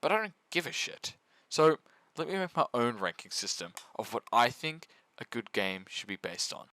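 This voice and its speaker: A man's voice: medium pitch, sing-song, moderate pace, pushed and bright, no room sound. Sarcastic man narrating, very dry sound. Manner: mock-serious, sweary, sarcastic. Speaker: video essayist and reviewer. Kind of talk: comedic scripted review narration.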